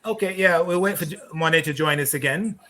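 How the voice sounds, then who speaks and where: A man's voice: fairly high pitch, fairly quick, sharp tone. A middle-aged man from Barbados hosting a live online talk show, upbeat and effusive, talking over a video call setup. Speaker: host.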